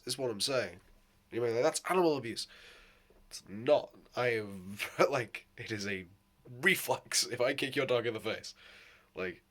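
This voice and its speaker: A man with a sore, hoarse throat, rambling casually in a vlog, swearing and laughing often. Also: medium pitch. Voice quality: hoarse, voice cracking. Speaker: vlogger.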